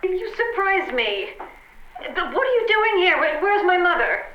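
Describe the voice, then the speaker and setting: A woman playing a sharp-tongued wife in an old-time radio comedy, on a lo-fi recording. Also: very high-pitched, very animated, unhurried, far from the mic, full character voice. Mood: anxious, puzzled. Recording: roomy, lo-fi, noisy vintage-style radio recording.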